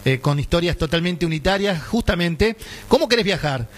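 High-voiced Argentine male radio host. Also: lively intonation, moderate pace. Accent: Argentine Spanish. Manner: chatty, many fillers and tag questions. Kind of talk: casual radio chat.

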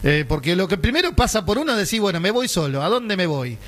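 A man's voice: sits high, sing-song, quick pace, a bit bright, breathy edge. A man with an Argentine accent hosting a radio show, chatting casually with many fillers and tag questions. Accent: Argentine Spanish. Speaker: radio host.

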